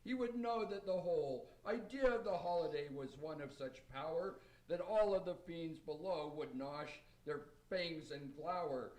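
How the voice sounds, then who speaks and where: A man's voice: a little high, moderate pace, few pauses, darkish tone, far from the mic, natural voice. Kindly, earnest Father Christmas figure, played by a man, reciting radio-play verse in a roomy echo, off mic. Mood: earnest, lyrical. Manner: declaiming rhymed verse, earnest. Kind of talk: amateur radio play acting.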